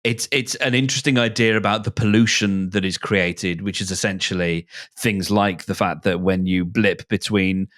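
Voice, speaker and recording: A man's voice: low voice, fairly even intonation, normal speed, few pauses, a bit bright. A man chatting casually on a podcast, feeling his way thoughtfully through his phrases. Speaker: podcast speaker. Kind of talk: casual discussion.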